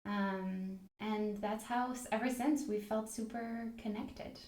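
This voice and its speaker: A woman in her thirties hosting an interview podcast, upbeat when introducing a guest and gentle and supportive when listening. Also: mid-range voice, fairly even intonation, takes it slowly, reverberant. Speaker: podcast host.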